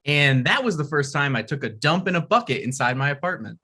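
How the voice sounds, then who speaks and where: A man's voice: a little high, expressive, a little fast, bright, forceful tone. An actor at a table read playing an earnest, odd young man who rambles and stammers. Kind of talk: table read of a comedy script.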